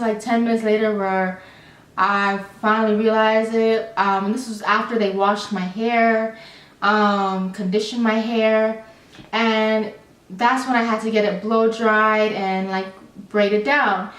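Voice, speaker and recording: A young adult woman telling a storytime vlog in a chatty, animated, rambling way with many fillers. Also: on the high side, a little slow. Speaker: vlogger. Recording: roomy, slightly distant mic.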